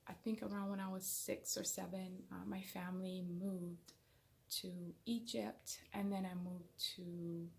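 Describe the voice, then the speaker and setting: A woman's voice: fairly low pitch, little pitch movement, unhurried, creaky, a little mellow. A young woman from Somalia chatting to camera as a channel host, rambling and self-conscious with many fillers and restarts. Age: young adult. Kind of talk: casual chat to camera. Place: small indoor room. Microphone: camera in front, head and shoulders framing.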